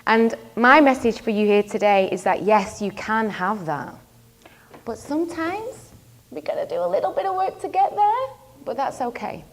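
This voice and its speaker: A woman's voice: on the high side, very animated, moderate pace. A woman relationship coach giving a motivational talk to an audience, telling a personal story and asking the crowd questions. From not from the local area; lives in London.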